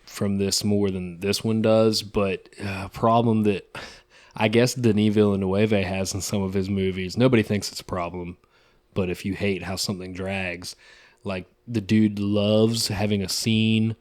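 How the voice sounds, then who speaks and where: A man's voice: lowish voice, level tone, measured pace, darkish tone, no echo. A young man co-hosting a movie review chat in a laid-back, slangy way. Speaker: podcast co-host.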